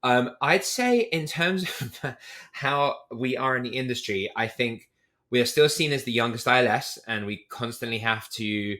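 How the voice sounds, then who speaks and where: A man's voice: medium pitch, normal speed, bright, forceful tone. A man with a British accent, a startup chief talking candidly as a podcast guest, explaining at length with many fillers. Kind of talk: casual interview talk.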